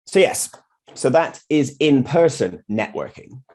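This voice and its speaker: A man's voice: mid-range voice, sing-song, measured pace, darkish tone. A middle-aged British man giving an informal presentation from his own experience as a designer, in a candid, blunt way. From United Kingdom. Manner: candid, blunt, mildly sweary. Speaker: freelance graphic designer giving a meetup talk. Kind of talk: informal presentation with personal anecdotes.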